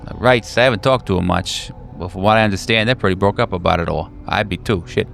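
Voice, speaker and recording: A man playing a weary, hardened veteran handler in a tabletop roleplay, talking in a halting, offhand way that trails off. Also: low voice, creaky, fairly bright tone, faint music behind. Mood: matter-of-fact, halting. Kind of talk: improvised tabletop roleplay dialogue.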